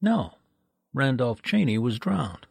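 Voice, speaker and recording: A man plays a formal medical examiner in an old-time radio mystery. His mid-pitched voice has plenty of gravelly creak. He explains in measured, precise phrases, with a close, dry sound. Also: very animated, dry recording, heavily acted. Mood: grave, courteous. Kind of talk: 1940s-style radio drama acting.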